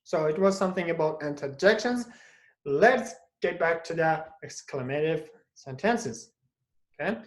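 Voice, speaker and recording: A man's voice: a little high, animated, measured pace, reverberant. A man teaching English in a live online class from home at night, tagging "okay?" onto nearly every phrase and acting out each example. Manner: tags "okay?" after most phrases and acts out each interjection.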